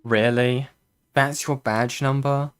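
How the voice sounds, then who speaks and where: A man's voice: medium pitch, fairly even intonation, slow pace, clear, resonant tone. Blunt, scoffing male co-commentator. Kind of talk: casual stream commentary.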